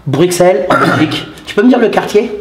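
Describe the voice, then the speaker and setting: A man's voice: sits high, lively intonation, quick pace, a crowd in the background. Effusive man, roomy echo. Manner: effusive, repeats thanks and compliments, many restarts. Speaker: interviewer and channel host. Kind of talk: casual interview chat.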